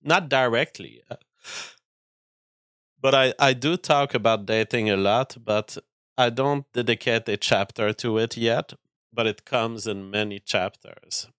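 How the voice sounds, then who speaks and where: A man hosting a casual livestream whose voice is weak and tired tonight, talking haltingly with many restarts as he answers viewer chat. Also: mid-range voice, a bit bright, clean tone, dry recording, clean studio sound.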